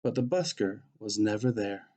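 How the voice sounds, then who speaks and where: A man's voice: fairly low pitch, animated, moderate pace, dark tone. A man reading a rhyming picture book aloud as a storyteller for children.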